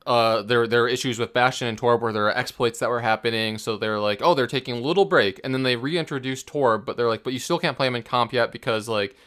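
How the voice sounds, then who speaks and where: A man's voice: medium pitch, fast talker, few pauses, a bit bright. A man co-hosting a casual gaming chat, explaining things in a loose, hesitant way with frequent asides. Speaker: podcast co-host.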